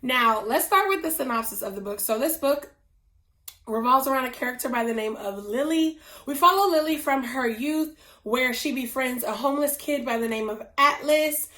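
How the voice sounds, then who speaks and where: A woman hosting a book review vlog, losing her voice and sounding hoarse, gushing with slow drawn-out emphasis. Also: high voice, animated, pushed and bright. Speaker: book reviewer, channel host. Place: indoors at home, whiteboard nearby.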